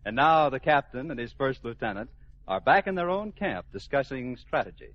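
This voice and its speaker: A man's voice: mid-range voice, expressive, normal speed. An American man narrating a mid-century radio comedy as its announcer, polished and mock-dramatic in a scripted style. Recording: muffled old-time radio recording. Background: music.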